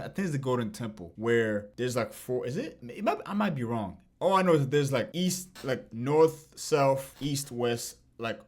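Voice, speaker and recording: Male reaction host, mid-pitched, laid-back slang, rambling delivery. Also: darkish tone. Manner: rambling, slangy, loses his train of thought. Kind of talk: casual reaction commentary.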